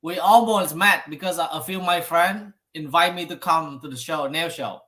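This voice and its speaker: Halting man hosting an interview. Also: fairly high pitch, bright, forceful tone. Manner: halting, many fillers. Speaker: podcast host.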